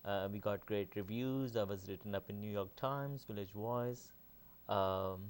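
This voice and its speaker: A middle-aged man from Pakistan, answering interview questions as a film director in a measured way with many pauses. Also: fairly low pitch, clear, resonant tone.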